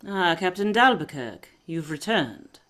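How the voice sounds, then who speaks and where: A woman's voice: fairly low pitch, very animated, a little slow, fairly bright tone. A woman playing a commanding, shrewd aristocratic lady detective in a period radio mystery, with a breezy, imperious, theatrical manner. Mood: probing.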